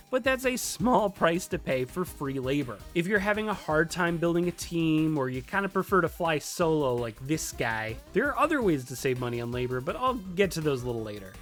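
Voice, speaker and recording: Animated explainer narrator over music. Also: medium pitch. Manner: conversational, lightly playful asides. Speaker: video tutorial presenter.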